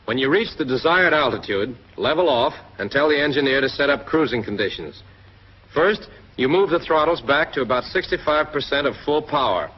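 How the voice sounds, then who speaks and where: American male narrator, 1940s military training film, mid-pitched, instructive, direct address; dull, hissy vintage soundtrack. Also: animated, a little fast.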